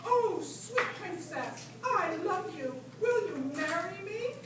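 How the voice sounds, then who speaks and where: An earnest young prince voiced by a woman declaims boldly in a very high voice. It is puppet-show acting, distant from the mic with loud noise around it. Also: expressive, slow, full character voice. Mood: tender. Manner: bold, declamatory. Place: library event room, live show. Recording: distant, echoey, very noisy room.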